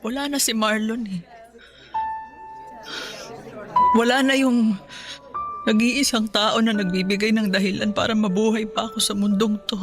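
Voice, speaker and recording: Actress as high-voiced, anguished grieving Filipino mother. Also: fairly even intonation, moderate pace, lightly acted. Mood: grieving, despairing. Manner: tearful, anguished, accusing. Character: grieving, bitter middle-aged mother, lashing out. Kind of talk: Filipino radio drama acting. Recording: music bed under the voice.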